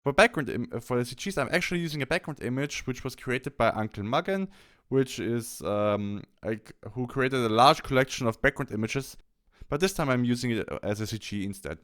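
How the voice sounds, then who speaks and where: A man presenting a software tutorial, explaining step by step in a halting instructional walkthrough. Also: medium pitch, lively intonation. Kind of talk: instructional screen-capture walkthrough.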